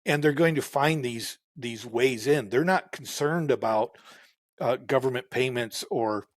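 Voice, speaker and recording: A man who farms, talking in a relaxed way as a podcast guest, with many fillers and restarts. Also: mid-range voice, unhurried, dry recording, clean studio sound. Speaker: farmer guest. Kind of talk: casual interview talk.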